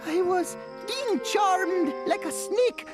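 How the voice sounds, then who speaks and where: A woman's voice: extremely high pitch, expressive, slow, over music, exaggerated voice. A woman voicing a dramatic, plaintive and pompous lion thief in a video game. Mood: plaintive, dazed. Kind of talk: animated video game voice acting.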